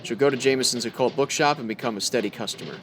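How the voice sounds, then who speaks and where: A man's voice: mid-range voice, very fast, a bit bright, soft background music, natural voice. Man playing a dry, hardboiled radio sleuth. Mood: scheming. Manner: dry, exasperated, hardboiled slang. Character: skeptical, wisecracking crime photographer tough guy. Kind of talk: old-time radio detective drama acting.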